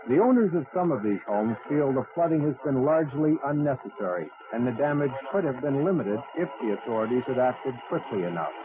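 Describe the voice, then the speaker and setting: A man's voice: mid-range voice, very animated, brisk, hardly any pauses, a crowd in the background. A man delivering a scripted news report as a reporter. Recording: tinny archival news audio, clearly noisy.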